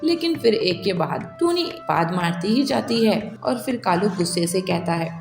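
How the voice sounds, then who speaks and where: A woman narrates a cartoon story in a steady, plain way at a middle pitch. Her voice sits in a roomy, reverberant space, and music plays clearly behind it. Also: moderate pace. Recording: echoey room with long reverb and music.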